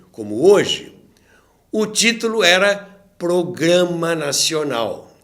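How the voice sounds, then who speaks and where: A Brazilian man hosting and narrating radio history as a storyteller, with theatrical rhetorical questions. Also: fairly high pitch. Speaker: podcast host and narrator.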